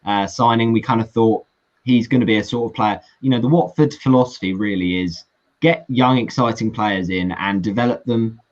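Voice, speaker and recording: A man's voice: on the low side, normal speed, lo-fi sound. A man from England talking as a guest fan, discussing football in an earnest, analytical, casual way over an online call.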